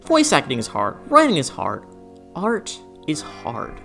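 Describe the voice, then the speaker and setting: Animated, expressive video essayist over music. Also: medium pitch, a little slow, gravelly. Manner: expressive, emphatic, conversational asides. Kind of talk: scripted opinionated video essay. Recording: music behind the voice. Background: background music.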